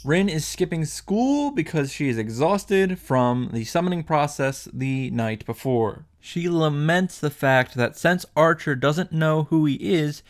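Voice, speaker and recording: A man's voice: a little high, no room sound, clean studio sound. Wry, profane male game recapper. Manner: wry, irreverent, swears for comic effect. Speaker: video game summary host. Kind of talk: sarcastic story recap with asides.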